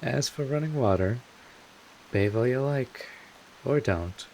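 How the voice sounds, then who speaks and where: A man playing a wry, laid-back vampire mentor in an intimate audio drama, casual and sardonic, with drawn-out pauses, protective and a little world-weary. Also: medium pitch, lively intonation, creaky, darkish tone, slight background noise, rough recording. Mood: amused, teasing.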